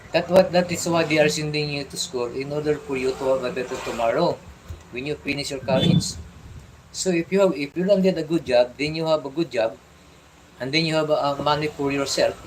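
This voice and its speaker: A middle-aged man from the Philippines with a Filipino accent, chatting on a livestream and giving fatherly advice in a halting way, repeating and restarting his words. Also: a little high, a little hiss or hum, poor audio. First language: Tagalog. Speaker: vlogger. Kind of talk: casual livestream chat.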